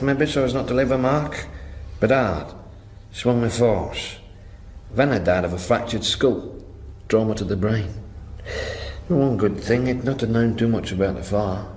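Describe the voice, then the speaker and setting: A man's voice: fairly low pitch, darkish tone, close to an everyday voice. An actor playing a blunt, matter-of-fact policeman in a radio drama, speaking in clipped, informal phrases. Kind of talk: modern radio drama acting. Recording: roomy echo, faint background noise.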